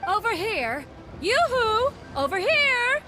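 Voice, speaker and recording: An actress playing a dignified, composed and resolute auntie in a video game, with formal, stately phrasing. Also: female voice, very high voice, big pitch swings, slow, loud music behind the voice, exaggerated voice. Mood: calling out.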